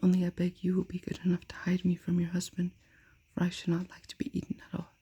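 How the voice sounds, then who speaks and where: A polite, frightened young boy from a fairy tale, whispered by a woman. The breathy whisper is dark and flat. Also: lowish voice, fast.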